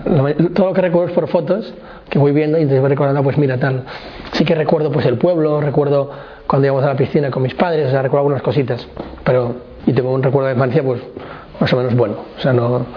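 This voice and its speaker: A man's voice: medium pitch, highs cut off. A man in his twenties from Barcelona, telling his life story as an interview guest in a rambling way, with many restarts. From Barcelona, Spain. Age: 20s. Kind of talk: casual personal testimony.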